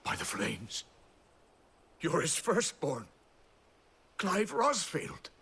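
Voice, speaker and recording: An actor playing an effusive, deferential older village mayor in a game, with a rough, husky voice. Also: male voice, on the high side, heavily acted. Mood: awed, delighted. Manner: animated, unhurried, swooping. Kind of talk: video game cutscene acting.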